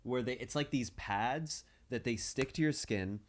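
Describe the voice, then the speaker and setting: A man who is a comedian guest on a podcast, telling stories casually and in an animated way. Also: mid-range voice, measured pace.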